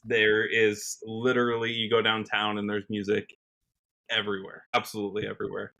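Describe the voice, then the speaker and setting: Casual interview guest: American man, early 30s, musician, bright tone, conversational delivery. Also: fairly low pitch, monotone, fairly quick, creaky, highs cut off. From Washington State, United States. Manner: conversational, peppers talk with "you know".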